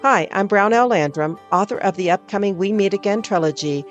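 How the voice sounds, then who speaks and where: A woman's voice: medium pitch, a little fast, muffled recording, soft background music. A woman who is an author gives a friendly, scripted welcome and introduces the story that follows.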